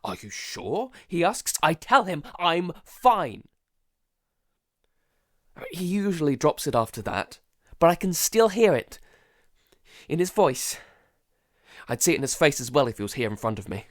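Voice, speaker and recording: A man playing a frightened, traumatised survivor in a halting, dramatic audio-drama monologue. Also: fairly high pitch, very animated, no room sound, studio quality. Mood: irritated, defensive. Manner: halting, stammering, broken by sighs. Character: frightened, traumatised male survivor keeping a monster-apocalypse audio diary.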